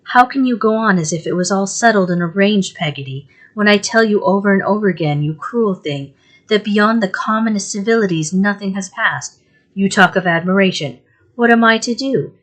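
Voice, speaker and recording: A woman reading aloud and voicing a pretty young widowed mother who is tearful and easily hurt, pleading in a high, rising voice. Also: lively intonation, normal speed, few pauses, natural voice. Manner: plaintive, pleading, rising in distress.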